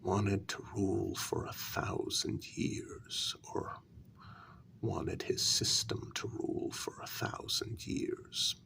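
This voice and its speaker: Man acting a gravelly, half-whispering brooding human among vampires. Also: low voice, little pitch movement, bright, forceful tone, heavily acted. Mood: brooding, pointed. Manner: slow, deliberate, pausing between phrases. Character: human man confronting his vampire queen, wounded and quietly menacing. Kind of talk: ASMR role-play, soft-spoken.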